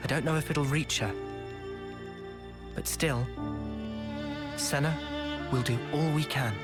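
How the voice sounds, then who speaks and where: A man playing a gentle, earnest young hero weighed down by regret in a dramatic video game cutscene. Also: fairly high pitch, slow, over loud music. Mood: gentle resolve.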